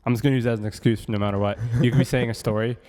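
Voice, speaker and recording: A young man rattles along fast in loose, joking podcast chatter. His voice trails off into a long room reverb behind it. He hops from one thought to the next with plenty of ums. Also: medium pitch, fairly even intonation, background noise. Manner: quick, joking, rambling with fillers. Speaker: podcast co-host. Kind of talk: casual podcast banter. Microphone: studio microphone.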